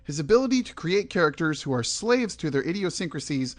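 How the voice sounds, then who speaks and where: A man's voice: a little high, very animated, brisk. A man hosting a film review podcast, moving between prepared remarks and conversational opinion. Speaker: podcast host. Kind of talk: film review, scripted intro and loose discussion.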